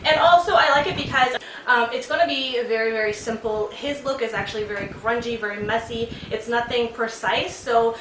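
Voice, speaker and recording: A woman's voice: on the high side, fairly quick, slight background noise. A woman hosting her beauty vlog in a casual, chatty way with lots of ums and giggles, sounding stuffed up from a cold. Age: adult aunt of a teen. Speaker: beauty vlogger host. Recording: roomy sound, mic at a distance, faint music.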